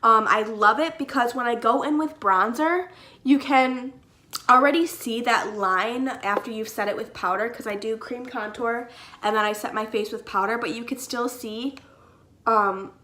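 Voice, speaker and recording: Young female beauty vlogger, higher voice, slightly congested, chatty and filler-heavy. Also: normal speed, sharp tone. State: stuffy from a cold. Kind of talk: casual makeup tutorial.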